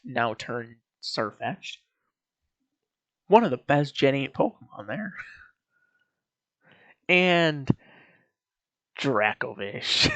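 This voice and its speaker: A man's voice: mid-range voice, a bit bright, dry recording. Male video host, mid-range voice, informal and opinionated delivery, very dry sound. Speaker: video host giving opinions on an anime series. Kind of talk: casual commentary ranking a cartoon series.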